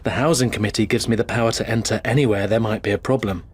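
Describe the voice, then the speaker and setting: An actor playing a brash, self-important working-class British pest controller in a radio drama. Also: male voice, lowish voice, fairly even intonation, fast, slightly rough. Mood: cocky, businesslike. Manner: colloquial, self-important, muttering to himself.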